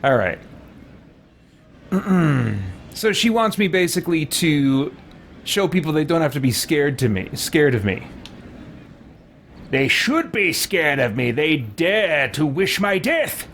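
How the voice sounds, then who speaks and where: A man's voice: a little high, big pitch swings, slow pace, pauses often, a bit bright, slight background noise. A man giving roleplay game commentary, thinking aloud with hesitant musing and haughty in-character remarks. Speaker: gaming roleplay video creator. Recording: game music behind the voice. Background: video game music.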